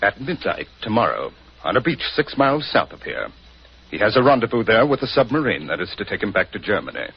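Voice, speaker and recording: Cunning criminal mastermind, low, expressive, sardonic and formal, 1940s radio style. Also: male voice, a little fast, vocal fry, breathy edge, heavily acted. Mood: grudging compliance. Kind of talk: 1940s radio drama acting. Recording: dull, noisy 1940s radio recording.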